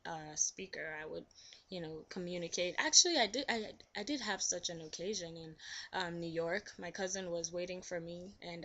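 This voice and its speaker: Bright-voiced Nigerian woman in her twenties. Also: on the low side. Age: 20s. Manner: thoughtful, with many ums. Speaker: interviewee. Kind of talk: casual interview answers.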